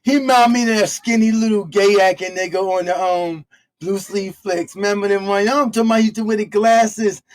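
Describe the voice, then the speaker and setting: Blunt high-voiced New York man. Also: steady tone, fairly quick. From New York, Harlem and the Bronx. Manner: blunt, profane, emphatic. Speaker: reaction show co-host. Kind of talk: casual reaction chat.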